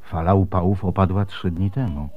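A man's voice: low voice, steady tone, normal speed, dull audio, close to an everyday voice. An actor playing a worried, protective Byzantine husband and father in a radio drama, speaking earnestly. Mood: tender.

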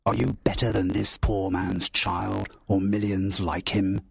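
A kind but stern spirit, voiced by a male storyteller speaks in a low, husky, gravelly voice. It talks in slow, solemn pronouncements. The sound is close and dry. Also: level tone, dry recording, full character voice.

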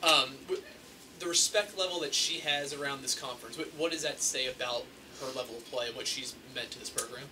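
A man, a sports reporter, asking questions quickly at a press conference, with some ums. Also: mid-range voice. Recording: distant mic with room noise.

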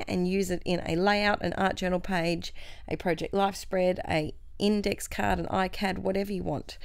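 Young woman, croaky with a cold, gravelly and chatty, dry close voice-over. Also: medium pitch, dry recording. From Australia. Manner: chatty, cheerful, self-mocking. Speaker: craft channel host. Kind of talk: scrapbooking process voice-over.

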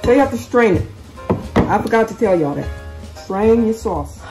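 A woman's voice: mid-range voice, expressive, normal speed, music in the background. A woman hosting a casual cooking tutorial from her home kitchen, chatting straight to viewers and correcting herself as she goes. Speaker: cooking channel host.

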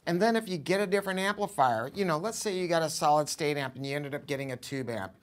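A man's voice: fairly high pitch, fast. An American man in his sixties giving an enthusiastic, informal product review to camera, with an emphatic salesman's delivery. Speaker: audio store owner presenting a product review. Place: showroom, indoors.

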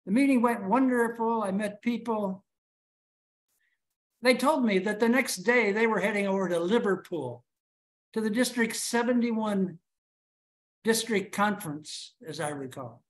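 Slow, deliberate man telling a story. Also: high voice. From Chicago, USA. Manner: storytelling with rhetorical questions, deliberate. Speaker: club officer giving a speech. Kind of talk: prepared speech to a club. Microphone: video call.

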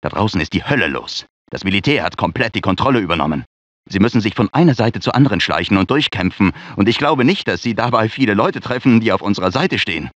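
An actor playing an anxious research scientist in a dramatic video game, speaking in a fretful, earnest, theatrical manner. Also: male voice, lowish voice, animated, quick pace, a bit bright, no echo. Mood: earnest, grave. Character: anxious male research scientist in a sci-fi disaster. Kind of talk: dramatic game character dialogue, German dub. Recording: dull lo-fi game audio.

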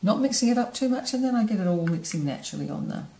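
A woman teaching painting, talking in a relaxed way as she paints and explaining each step. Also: mid-range voice, quick pace, reverberant, slight background noise. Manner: relaxed, thinking aloud while painting. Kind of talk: painting demonstration narration. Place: studio table, camera overhead.

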